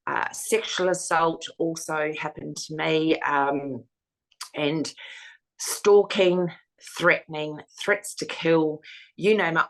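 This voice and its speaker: A woman with a husky voice, frankly recounting her own experience as an interview guest. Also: low voice, rough recording. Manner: frank, matter-of-fact storytelling. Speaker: foundation founder, interviewee. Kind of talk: personal testimony in an interview.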